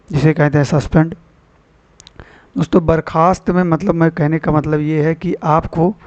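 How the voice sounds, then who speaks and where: A man's voice: a little high, darkish tone. A man giving an informal explanatory lesson to students as an online teacher, repeating and rephrasing key points and addressing viewers as friends. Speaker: online exam-prep teacher and channel host. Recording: dull lo-fi voice recording.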